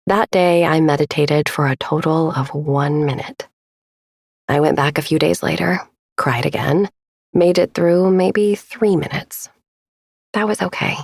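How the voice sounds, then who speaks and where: A woman narrates a sleep meditation in a gentle, intimate manner. Her voice sits a little low and is husky with a gravelly creak. The sound is dry and close, and she takes her time. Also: no echo. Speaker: podcast host and meditation guide.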